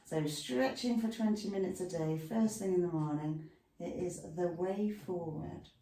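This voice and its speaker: Lady leading a stretch class, lowish mellow voice, chatty, sounds far off in a roomy echoey space. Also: measured pace. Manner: chatty, talks through the stretches with asides. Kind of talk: guided exercise instruction. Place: room at home with a dog nearby. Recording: echoey room, mic far off.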